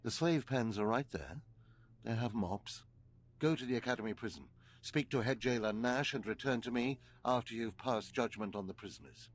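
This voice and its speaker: An actor playing a stern, scheming older Sith overseer in a video game, speaking in a measured, formal, grave way. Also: male voice, medium pitch, close to an everyday voice. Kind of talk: scripted video game dialogue.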